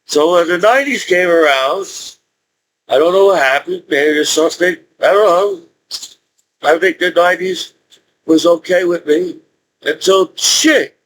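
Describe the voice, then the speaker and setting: A man in his forties speaking in a rambling, halting monologue with frequent restarts and laughs. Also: sits high, fairly bright tone, a little hiss or hum, poor audio. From United States. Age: 40s. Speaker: YouTuber.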